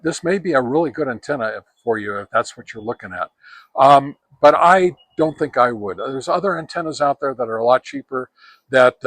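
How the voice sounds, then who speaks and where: Older guy reviewing radio gear outside, kinda halting, corrects himself, pretty dry sound, medium pitch. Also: fairly bright tone, dry recording. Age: older, about 70. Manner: halting, hesitant, self-correcting delivery. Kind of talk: casual product review to camera. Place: outdoors on a wooden deck among trees.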